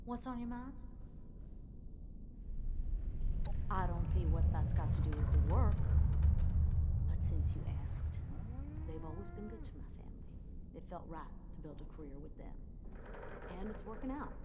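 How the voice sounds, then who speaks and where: An actress playing a guarded, businesslike young cargo boss in a video game, speaking in a dry, matter-of-fact way. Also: female voice, on the low side, animated, normal speed, very noisy, lightly acted. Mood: guarded, brisk. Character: guarded, businesslike young woman running a cargo trading outfit. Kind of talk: video game dialogue acting.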